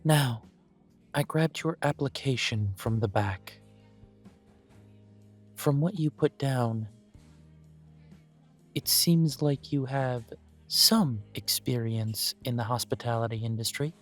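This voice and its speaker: A man gives voice to a courteous elf who runs a small coffee shop. He speaks at an unhurried pace in a mid-range voice, formal and gently self-deprecating. Soft music plays quietly beneath his words. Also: mid-range voice. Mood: gracious. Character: courteous elven male coffee shop owner. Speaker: shop owner interviewing a job applicant. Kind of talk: scripted one-sided roleplay audio.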